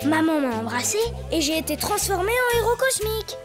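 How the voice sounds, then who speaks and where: A woman's voice: extremely high pitch, big pitch swings, fairly quick. A woman playing an earnest, eager little superhero boy in a French cartoon. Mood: earnest, anxious. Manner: eager, childlike. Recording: loud music behind the voice.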